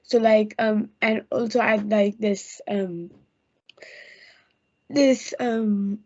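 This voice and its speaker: A teenage student whose first language is Urdu, speaking haltingly and searching for words on a video call. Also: female voice, medium pitch, fairly even intonation, darkish tone. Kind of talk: casual video-call discussion. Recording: dull lo-fi laptop audio.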